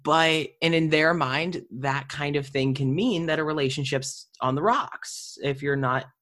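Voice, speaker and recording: A woman's voice: low voice, a little slow, a bit bright. A woman chatting informally in an interview, slipping into imagined dialogue as she talks. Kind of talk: casual conversational interview.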